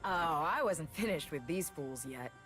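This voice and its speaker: A young warrior heroine from a video game. She is dry, sarcastic and darkly funny, fiercely devoted underneath. Her fairly low voice swings widely in pitch, with faint music behind it. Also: measured pace. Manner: dry, sarcastic, deadpan delivery.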